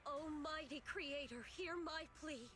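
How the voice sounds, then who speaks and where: A woman voicing a serene, zealous villainess in a game, speaking with solemn, theatrical grandeur as a devout servant of a dark creator. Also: high-pitched, unhurried. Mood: fervent invocation. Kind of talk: dramatic game voice acting. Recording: music behind the voice. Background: game music.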